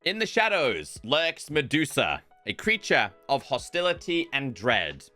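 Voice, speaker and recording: A man giving game showcase commentary as a video host, in an expressive, emphatic presenter's delivery. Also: medium pitch, unhurried. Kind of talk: game showcase commentary, part scripted narration, part live reaction. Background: music.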